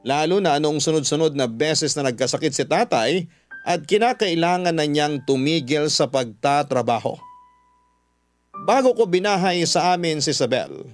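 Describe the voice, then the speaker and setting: A Filipino man reads a scripted first-person story as a radio drama narrator. His voice sits a bit high. Music plays softly underneath.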